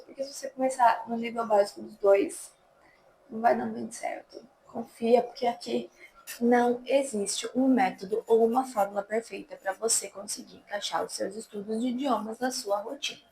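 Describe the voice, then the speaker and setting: A young woman talks casually to her viewers, chatty and lively as she hands out study tips. Her voice sits a little high and carries plenty of vocal fry. A faint background noise stays under her words. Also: rough recording. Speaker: language-learning YouTuber. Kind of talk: casual talking-to-camera advice.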